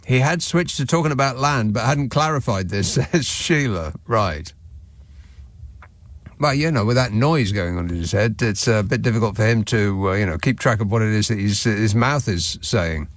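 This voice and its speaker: A man hosting a radio phone-in, talking with sardonic, deadpan asides and dry banter with callers. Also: lowish voice, normal speed, dry recording. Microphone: studio mic.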